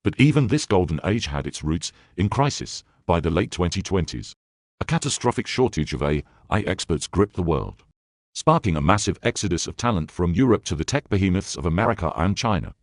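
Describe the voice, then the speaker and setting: A man's voice: low-pitched, sing-song, fairly quick, no room sound, clean studio sound. A man voicing a solemn prime minister who addresses the citizens in a formal, grave speech. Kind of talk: scripted narration of a future scenario.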